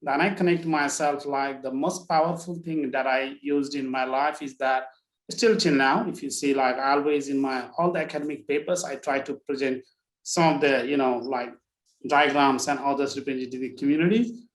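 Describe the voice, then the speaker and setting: A man's voice: medium pitch, steady tone, normal speed. A man in his thirties with a Nepali accent, sharing his personal story in a workshop, hesitant and full of fillers like "like, you know". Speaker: workshop participant. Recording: roomy echo.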